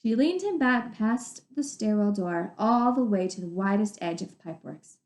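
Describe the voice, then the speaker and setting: A woman teacher reading a story to children in an animated, emphatic way, adding her own commentary. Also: medium pitch, normal speed. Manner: animated, emphatic, stretches descriptive words. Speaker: teacher reading aloud to pupils. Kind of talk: expressive storybook read-aloud with commentary to children. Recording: echoey room sound.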